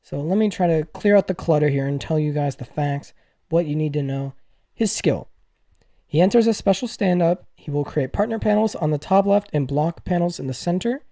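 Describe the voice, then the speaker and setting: A man giving casual gaming commentary to viewers in an excitable, gushing manner, as the host of a news video. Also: on the high side, moderate pace, no echo, studio quality.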